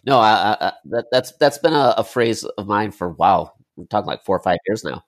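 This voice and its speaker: A man's voice: fairly low pitch, lively intonation, a little fast. A man co-hosting a casual podcast, jokey and stumbling over restarts. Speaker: podcast co-host.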